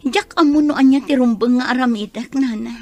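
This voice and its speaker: A woman's voice: high voice, fairly quick, warm tone, soft background music. A Filipino-accented woman playing a hurt, suspicious wife in an emotional, pleading radio drama style. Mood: sorrowful. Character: hurt, suspicious wife confronting her straying husband.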